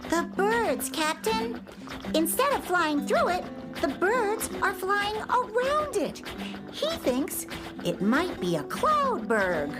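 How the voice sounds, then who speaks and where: An excitable young girl first mate in a children's radio play. Her voice is very high and lively, and loud music plays behind it. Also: slow pace, exaggerated voice. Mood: earnest, reporting. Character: eager girl first mate of a flying dream ship.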